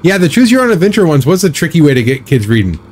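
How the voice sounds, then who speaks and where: A man in his thirties chatting casually while live-streaming a driving game, rambling with a slightly raspy, scratchy throat. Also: a little high, big pitch swings, quick pace, a little mellow. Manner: rambling, chatty, reads and answers chat.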